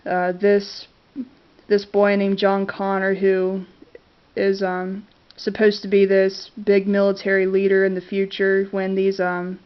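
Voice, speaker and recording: A woman's voice: mid-range voice, monotone, a little slow, clear, resonant tone. A congested woman with a cold talks casually to her camera about movies she loves, hesitant and full of fillers. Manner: hesitant, many fillers and restarts. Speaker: vlogger reviewing favorite movies. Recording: dull lo-fi camera audio.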